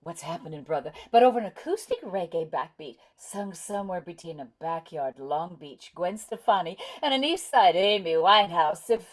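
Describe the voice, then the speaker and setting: A woman's voice: on the low side, lively intonation, moderate pace, few pauses. A woman playing a playful, shy young woman in love in a table read of a stage play. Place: remote video call.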